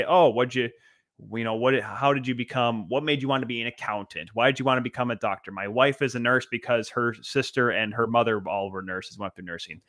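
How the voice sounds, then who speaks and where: A man's voice: medium pitch, fairly bright tone, no room sound. A man with a mid-range voice hosts a sports interview podcast. His delivery is emphatic and showy, like an announcer, and the sound is dry and close. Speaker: podcast host.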